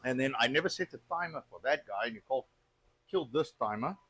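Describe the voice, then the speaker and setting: A man's voice: medium pitch, expressive, quick pace, sharp tone, slightly rough. A South African man hosting a cooking stream, chatting casually and joking with filler words while he cooks. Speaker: cooking show host.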